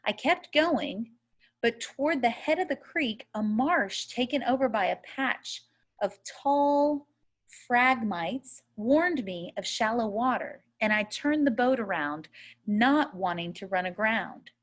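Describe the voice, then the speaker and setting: A woman reading a first-person novel aloud as a storyteller, in a measured, expressive way. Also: on the high side. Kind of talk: audiobook-style readaloud of a first-person children's novel.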